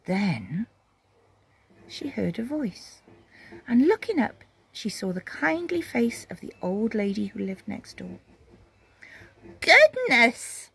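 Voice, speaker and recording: Animated woman reading a children's story. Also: medium pitch, creaky, breathy edge. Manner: dramatic, with pauses and emphasis.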